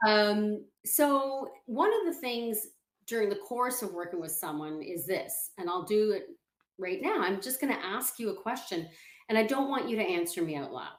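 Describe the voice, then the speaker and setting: Casting: woman confidence coach, calm and explanatory, mid-pitched voice, roomy echoey call audio. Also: normal speed. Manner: calm, explanatory, walks the listener through exercises. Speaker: confidence coach, interview guest. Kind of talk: video call interview. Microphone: video call setup.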